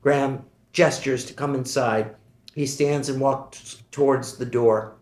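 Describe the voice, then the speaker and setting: A man reading stage directions aloud at a screenplay table read, steady and matter-of-fact. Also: mid-range voice, a little slow. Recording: echoey room.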